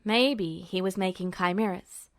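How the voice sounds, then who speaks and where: Lady doing a laid-back blunt teen boy, pitched down a bit, audiobook story, dry close sound. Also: measured pace, dry recording, close to an everyday voice. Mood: musing. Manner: casual, musing.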